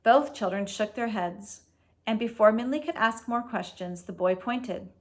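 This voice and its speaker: A woman's voice: on the high side, measured pace. Woman reading a storybook aloud. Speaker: read-aloud host. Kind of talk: storybook read-aloud with casual asides.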